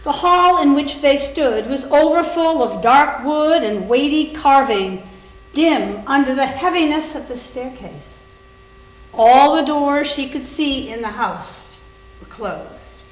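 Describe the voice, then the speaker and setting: A woman's voice: high voice, expressive, slow pace. A woman reading scripted story narration in a readers theater performance. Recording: echoey room recording.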